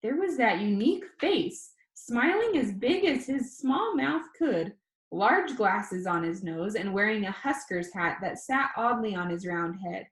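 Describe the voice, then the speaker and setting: A woman reading a first-person novel aloud as an audiobook, with expressive storytelling delivery. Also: medium pitch, unhurried, echoey room. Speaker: audiobook reader.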